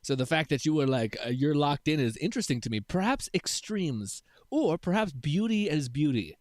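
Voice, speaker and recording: A man's voice: a little high, big pitch swings, moderate pace, darkish tone, dry recording, clean studio sound. A young adult man streaming live, chatting with his viewers in a rambling, laugh-filled way with many restarts. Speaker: streamer reading chat. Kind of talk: casual live-stream chat.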